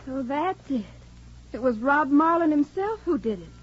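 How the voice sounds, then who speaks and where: Casting: bright college sweetheart, very lively high voice, muffled vintage radio sound. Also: female voice, moderate pace. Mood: earnest, admiring. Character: Inza, bright young college girlfriend of the hero. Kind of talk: 1940s radio drama acting. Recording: muffled old 1940s radio recording.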